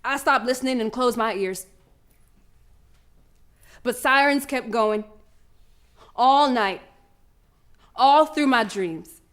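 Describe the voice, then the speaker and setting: A woman's voice: on the high side, slow pace, long pauses, a bit bright. A woman playing a proud young schoolgirl runner in an audio drama monologue, telling her story in colloquial, emphatic speech with dramatic repetition. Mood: subdued, grieving. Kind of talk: dramatic first-person monologue, audio play.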